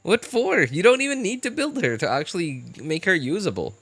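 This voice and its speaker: A man casually chatting as a live-stream host, answering viewers in a halting way and repeating his phrases. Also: high voice, breathy edge, dry recording. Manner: halting, repeats phrases, animated incredulous reactions.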